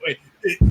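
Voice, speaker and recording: A giggly, foul-mouthed man bantering casually with friends on a stream. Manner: giggly, profane. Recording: noisy voice chat mic, clicks.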